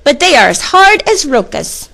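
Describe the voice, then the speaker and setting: A woman voicing small, cheeky, excitable male Chihuahuas from a storybook in a hollering, exclamatory manner. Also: sits high, moderate pace, vocal fry, voice pushed up, exaggerated voice. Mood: rowdy, excited.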